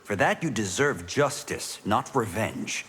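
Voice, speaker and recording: An actor playing a righteous video game hero, reasoning earnestly in a husky voice. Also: male voice, lowish voice, expressive, measured pace, a bit bright, a little hiss or hum. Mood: earnest, reproachful. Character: earnest, righteous male hero pleading for peace. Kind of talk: video game fight banter.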